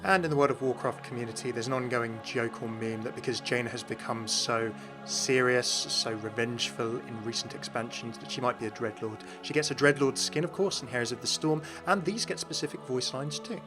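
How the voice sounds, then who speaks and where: A man hosting a gaming video with scripted lore narration. His voice is mid-pitched, with music playing faintly underneath. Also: a little fast.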